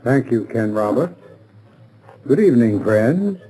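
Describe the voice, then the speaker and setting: A man's voice: mid-range voice, very animated, measured pace, warm tone, husky, rough recording. An American man reading a scripted 1930s radio advertisement as a spokesman, formal and instructive. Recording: vintage 1930s radio recording.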